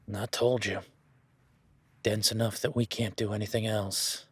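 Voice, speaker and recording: A man's voice: fairly low pitch, level tone, moderate pace, slightly rough, no room sound. A man voicing an easygoing male cowboy ranch hand in an audio roleplay, talking in a laid-back, folksy way with casual swearing.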